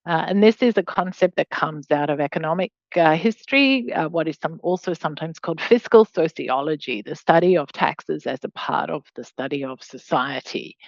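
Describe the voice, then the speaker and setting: A woman's voice: deep voice, moderate pace, hardly any pauses, dry recording, muffled recording. A middle-aged Australian woman giving an academic webinar lecture in a conversational, explanatory way, with hedging asides. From Australia, raised in Hong Kong. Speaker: law professor, guest lecturer.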